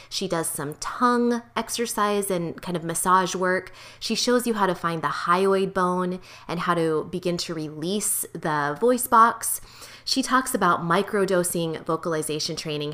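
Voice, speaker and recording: A woman podcast host with a high-pitched voice, introducing an episode and interviewing a guest. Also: a bit bright. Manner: friendly, encouraging, says mm-hmm often.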